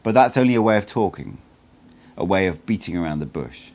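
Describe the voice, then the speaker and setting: A man voicing an old sorcerer teacher in an audiobook, wise and teasing, with a measured, cryptic and blunt way of talking. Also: sits low, animated, a little mellow. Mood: solemn, instructive.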